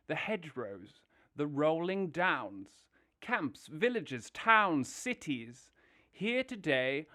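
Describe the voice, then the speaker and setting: A man voicing a boastful, excitable male toad who rattles off lists like a salesman in a fan audio drama. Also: fairly high pitch, heavily acted.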